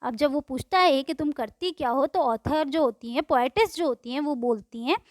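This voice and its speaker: High-voiced woman teacher with a close, dry sound. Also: normal speed, no room sound. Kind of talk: explaining a poem line by line in a class lesson.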